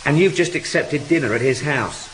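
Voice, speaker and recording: A man's voice: on the high side, expressive, normal speed, a bit bright. A male actor playing a deferential but persistent police sergeant in a radio drama, arguing politely and a little hesitantly. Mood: puzzled, persistent. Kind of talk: naturalistic radio drama acting. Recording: clearly noisy ambience behind the voice.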